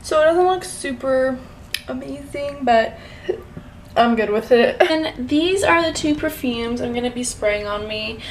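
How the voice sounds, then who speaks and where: A woman's voice: high-pitched, measured pace, rough recording. A young woman chatting informally and giggly in a casual get-ready-with-me vlog. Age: young adult. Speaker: vlogger. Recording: faint background noise.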